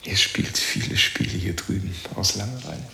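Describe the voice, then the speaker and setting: A guarded, wistful man in an intimate radio drama, with a very gravelly, low voice. He speaks hesitantly in short phrases, in an echoey room. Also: normal speed, bright, forceful tone, a little hiss or hum, heavily acted. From East Germany. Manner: hesitant, terse. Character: guarded, wistful East German man newly arrived in the West.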